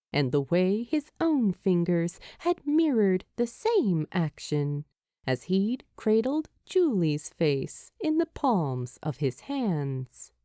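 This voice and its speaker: A woman reading a story as a narrator, in an expressive, measured storytelling manner. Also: medium pitch, dry recording, studio quality. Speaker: fan fiction narrator.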